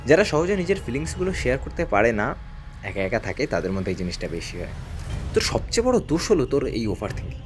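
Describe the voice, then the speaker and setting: A man acting a protective, animated older brother. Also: medium pitch, quick pace, soft background music, natural voice. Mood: lecturing. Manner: emphatic, admonishing, explaining at length. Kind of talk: audio drama acting.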